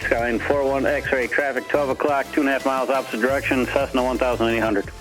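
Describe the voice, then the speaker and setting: Male tower controller, quick clipped phraseology, radio transmission sound, music bed. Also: mid-range voice, steady tone, low-quality recording. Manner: fast, clipped, routine radio calls. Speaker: air traffic controller.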